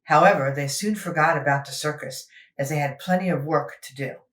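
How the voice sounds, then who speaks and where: A woman's voice: deep voice, brisk, a bit bright, reverberant. A woman storyteller narrating a children's book expressively, as if for young listeners.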